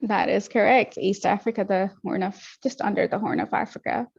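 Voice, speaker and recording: A woman's voice: medium pitch, fairly even intonation, brisk, creaky, slightly rough. A young woman from Tanzania, a podcast guest, talking reflectively about her life with frequent ums and restarts. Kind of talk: casual interview talk. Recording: muffled lo-fi audio.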